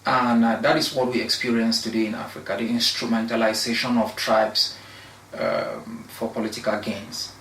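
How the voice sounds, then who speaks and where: A young man from Cameroon, a law researcher, giving measured, argumentative answers as an interview guest, with pauses and restarts. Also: lowish voice, lively intonation, gravelly, a bit bright, distant mic. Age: young adult. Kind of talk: interview discussion. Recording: echoey room, voice off-mic, faint noise.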